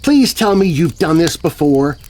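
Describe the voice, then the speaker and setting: A man's voice: on the high side, very animated, unhurried, a little mellow, a little hiss or hum, voice pushed up. A man voicing a playful, teasing adult woman, a friendly girlfriend in a children's horror story.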